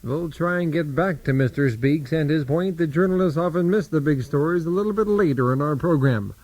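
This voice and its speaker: Give the anchor a fairly high, husky male voice, acted by a man. He is earnest and self-important, pressing guests with formal questions in a radio-theater spoof of network news. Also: a little fast, a little mellow, natural voice. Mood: earnest, probing. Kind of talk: satirical radio theater, mock news interview.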